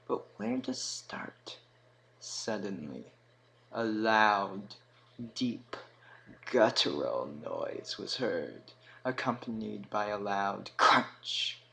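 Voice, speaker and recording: A man narrating a scripted fantasy story in a dramatic manner, with emphatic swells and hushed drops. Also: lowish voice, very animated, drawn-out words, rough recording. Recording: clear background noise.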